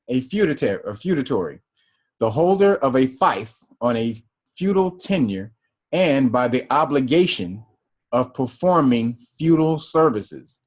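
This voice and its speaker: A man leading an informal study class in a mid-range voice. He reads definitions aloud, then riffs on them in loose slang, swearing and laughing as he goes. His delivery is relaxed and conversational. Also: mid-range voice. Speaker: class teacher and host. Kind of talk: casual lecture reading definitions aloud.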